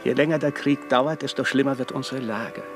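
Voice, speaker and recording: An actor playing a haughty, condescending bishop in an old radio drama, lecturing in a gravelly voice. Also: male voice, medium pitch, brisk, music in the background, close to an everyday voice. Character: haughty scheming bishop, older man, commanding.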